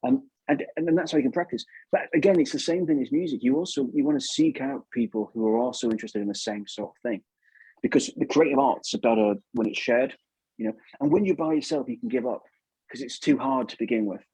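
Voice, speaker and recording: A man's voice: mid-range voice, quick pace, dark tone, husky, dry recording, rough recording. A man giving casual advice as an interview guest, chatty and repeating himself for emphasis. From England.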